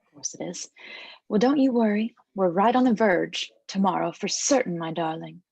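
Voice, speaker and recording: A woman's voice: medium pitch, moderate pace, creaky, darkish tone, slightly rough, dry recording. A woman playing a controlling, scheming mother in a table read, talking to her grown son in a syrupy, coaxing way. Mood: cloyingly tender.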